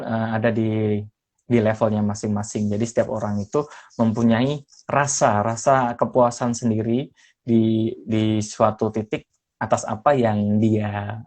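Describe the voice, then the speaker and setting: A man's voice: medium pitch, darkish tone. A young adult man from Semarang, a former radio announcer, chatting as a podcast guest with a lightly mixed Indonesian accent and many fillers. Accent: neutral Indonesian with slight mixed regional traces. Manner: hesitant, many fillers and restarts. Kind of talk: casual interview chat.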